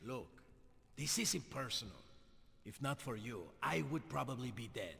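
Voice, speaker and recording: An actor playing a menacing, taunting villain in a video game cutscene. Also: male voice, mid-range voice, lively intonation, moderate pace, vocal fry, sharp tone, long reverb tail, heavily acted. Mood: coaxing. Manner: taunting, theatrical. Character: menacing male villain, coaxing, mocking, quick to rage.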